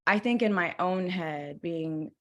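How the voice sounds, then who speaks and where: A woman's voice: lowish voice, slow, a bit bright. Rambling young woman, casual interview guest. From Los Angeles, USA. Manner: rambling, many fillers and restarts. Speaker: guest actor.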